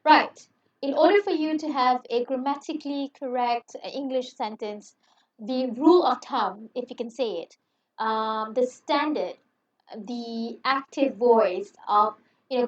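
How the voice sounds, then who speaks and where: A young Malay-native woman gives a chatty university English lecture with plenty of "um"s and reassurances. Her voice sits a little high. The sound is roomy and dull, as if she were set back from the mic. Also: far from the mic. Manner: friendly, chatty, reassuring with many fillers. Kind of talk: recorded online lecture. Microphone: headset.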